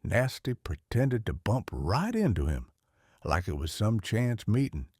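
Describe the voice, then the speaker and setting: A man with a Southern US accent reading a children's storybook aloud in a folksy, expressive way. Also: fairly low pitch, unhurried, slightly rough, no echo, studio quality. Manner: folksy, animated.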